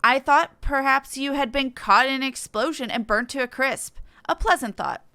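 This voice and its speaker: A woman's voice: sits high, moderate pace, sharp tone, smooth, no echo. A woman voicing a proud, brusque female warrior from a fantasy game, haughty and commanding. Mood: scornful.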